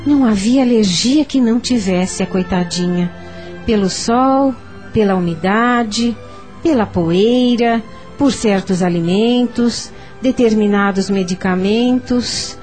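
A woman's voice: medium pitch, slow pace, a little mellow, soft background music, natural voice. An actress playing an anxious, long-suffering middle-aged mother in a radio drama, fretful and lamenting. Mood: worried, weary.

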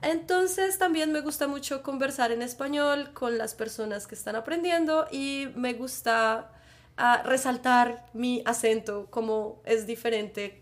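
A young adult woman from Colombia with a Bogotá accent, a podcast guest who talks at length in an easy, chatty way and laughs often. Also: a little high. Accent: Bogotá Colombian Spanish. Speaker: guest, Spanish teacher. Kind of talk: casual podcast interview.

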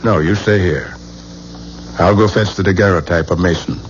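An actor playing an eager, persuasive male author in a 1970s radio drama, deliberate and coaxing. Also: deep voice, natural voice. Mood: coaxing, urgent. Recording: dull, faintly noisy old radio recording.

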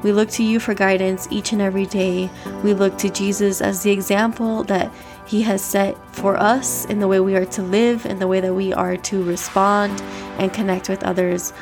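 A stuffy, congested woman with a nasal edge talks through a daily devotional in an earnest, upbeat way, then leads a prayer. Her pitch sits mid-range for a woman, and soft music hums faintly underneath. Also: level tone, normal speed. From Miami, Florida. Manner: earnest, upbeat and chatty, with fillers. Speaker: devotional podcast host. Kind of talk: casual devotional talk and spoken prayer.

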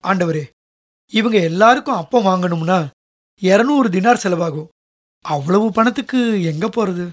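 An actor playing the disciple Peter in a radio drama, speaking in a lively, eager and colloquial way. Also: male voice, sits high, normal speed, long pauses. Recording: radio drama with soft music underneath.

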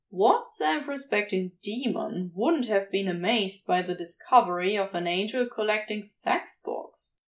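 A sardonic, teasing male demon , played by a woman reader, wily but affectionate. The delivery is casual and unhurried, with animated inflection, as in an audio story. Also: mid-range voice. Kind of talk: dialogue in a fan fiction audio reading.

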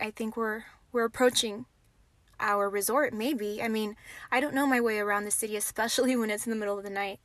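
A young adult woman hosting a podcast, telling a personal story in an animated, conversational way with dramatic pauses. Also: a little high, dry recording. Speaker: podcast host. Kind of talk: storytime narration.

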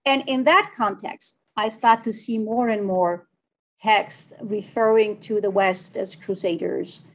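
A woman who grew up in Tunisia, a philosophy department chair, answering interview questions in a measured, thoughtful way with frequent ums. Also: mid-range voice, fairly even intonation, low-quality recording.

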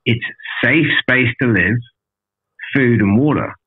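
A man's voice: medium pitch, lively intonation, slow pace, slightly rough. Blunt, sweary man on a tinny line. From an island outside Australia. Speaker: podcast guest telling life story. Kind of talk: casual candid storytelling.

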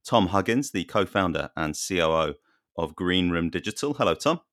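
A man's voice: low-pitched, animated, normal speed, fairly bright tone, dry recording, clean studio sound. A man hosting an interview, introducing guests and asking questions in a friendly, chatty way. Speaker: interview host.

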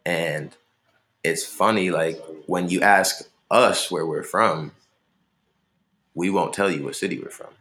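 A man's voice: low-pitched, takes it slowly, pauses often, a bit bright. A young man from Maryland, a musician, talking casually in an interview in a laid-back, rambling way with lots of fillers. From Maryland, USA.

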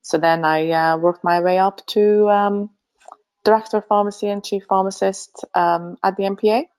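A woman's voice: medium pitch, fairly even intonation, moderate pace, a little mellow, smooth. A middle-aged woman from Finland, a pharmacy leader giving an interview, speaking thoughtfully with frequent ums and easy laughs. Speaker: pharmacy association chief executive, guest. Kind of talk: remote interview.

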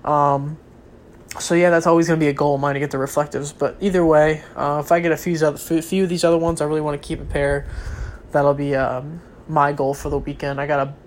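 A young man with a slightly high, congested voice, stuffy from a cold. He rambles casually in a vlog, full of ums and restarts. A faint hiss of noise sits behind him. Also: little pitch movement, fairly quick. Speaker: vlogger. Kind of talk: casual solo talk on football and sneakers.

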